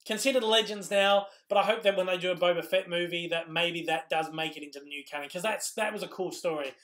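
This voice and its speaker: Casual Australian male streamer, high and fraying, reading chat questions and waffling. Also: fast, a bit bright. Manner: rambling, lots of ums and restarts. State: losing his voice. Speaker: YouTube host answering live chat.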